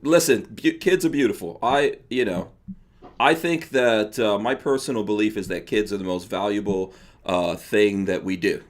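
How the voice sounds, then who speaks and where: A man's voice: on the low side, normal speed. A man with a New York accent hosting a livestreamed podcast, chatting casually and laughing often. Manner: laughs often, loose and joking. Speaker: podcast host.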